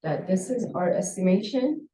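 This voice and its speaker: A woman's voice: medium pitch, sing-song, normal speed, dark tone, a little hiss or hum. A woman instructor giving spoken feedback to a student, probing with follow-up questions. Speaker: university instructor reviewing a student project. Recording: echoey room, distant microphone.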